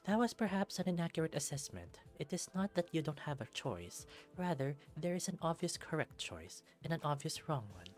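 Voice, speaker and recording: A man voicing a stern, formal female bear superhero in a visual novel, speaking in measured, deliberate phrases. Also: on the high side, sing-song, faint music behind, pitched above the performer's own voice. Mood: stern, detached.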